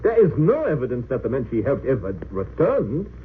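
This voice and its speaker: An actor in an old-time radio drama playing an earnest, deferential Romanian defense lawyer who argues formally and pleads with feeling. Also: male voice, medium pitch, wildly swooping pitch, moderate pace. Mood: impassioned, pleading. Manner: hesitant yet impassioned, formal oratory. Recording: tinny narrow-band old radio recording, hissy.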